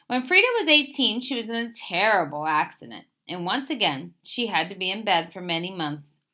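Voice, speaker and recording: Female storyteller for picture book read-aloud. Bright tone, highly varied pitch, expressive phrasing. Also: normal speed. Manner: expressive, emphatic phrase openings that settle at sentence ends.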